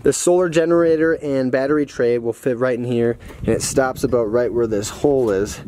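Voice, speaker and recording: A man's voice: medium pitch, a little fast, dark tone. A young man chatting casually and slangily as a vlogger, laid-back, recorded outdoors on a handheld camera. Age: young adult. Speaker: vlogger, show host. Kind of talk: casual vlog chat. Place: outdoors in a dirt yard by garages. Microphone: handheld camera at arm's length. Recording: noisy outdoor camera audio with vehicle noise.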